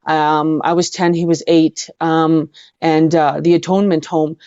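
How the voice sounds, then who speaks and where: A middle-aged woman with a western Canadian accent, telling personal stories as an interview guest in an earnest, halting way, repeating words for emphasis. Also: sits low, level tone, mellow, smooth, dry recording, muffled recording. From Edmonton, Canada. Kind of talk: personal storytelling in a live online interview.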